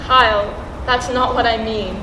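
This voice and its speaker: A young woman playing a candid, steady teenage student in a school play, recorded on an echoey stage. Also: on the high side, a little slow, noisy background, lightly acted. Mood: earnest, serious. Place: stage in a large room.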